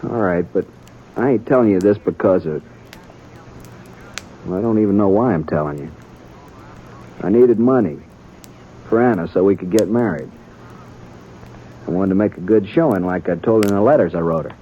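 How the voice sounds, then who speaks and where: An actor playing a defensive, guilt-ridden young American frontier man in a 1950s radio Western, speaking in a rustic, halting, emotional way. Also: sits low, a little hiss or hum, natural voice. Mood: anguished confession. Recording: muffled old radio recording, faint music.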